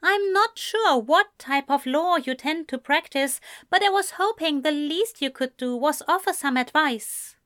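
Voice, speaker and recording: Polite young man, formal and clipped, voiced higher by a woman narrator. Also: lively intonation, unhurried, few pauses, bright, forceful tone, dry recording, clean studio sound, exaggerated voice. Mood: earnest.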